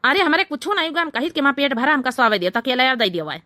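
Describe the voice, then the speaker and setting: Woman acting as cartoon village husband, sneaky, short-tempered, sharp forceful tone, Awadhi accent, brusque, dry close sound. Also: sits high, sing-song, racing, no room sound, heavily acted. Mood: irritated. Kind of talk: cartoon comedy dialogue.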